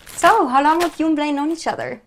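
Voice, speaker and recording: A woman's voice: sits high, brisk, a little hiss or hum, lightly acted. A woman playing a prying, possessive woman with false friendliness in an audio drama, pointed in manner. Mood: probing, veiled threat. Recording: music behind the voice.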